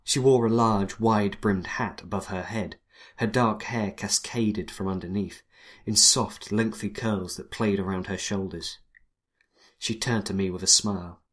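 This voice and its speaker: A man playing a wry, hardboiled 1920s private detective, narrating in a dry, world-weary deadpan. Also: fairly low pitch, unhurried. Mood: wry, brooding. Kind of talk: first-person noir detective narration and dialogue.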